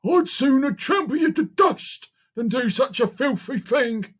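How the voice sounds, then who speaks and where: A storybook reader voicing an offended, threatening big animal, an elephant or rhinoceros, with loud emphatic indignation. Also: sits high, animated, a little slow, husky, voice pushed up, exaggerated voice. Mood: indignant.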